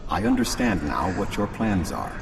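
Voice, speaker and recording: An actor playing a stoic, logical alien admiral with a deep gravelly voice and a formal, composed manner in a sci-fi game. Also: male voice, sing-song, over music, exaggerated voice. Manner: measured, formal, unemotional.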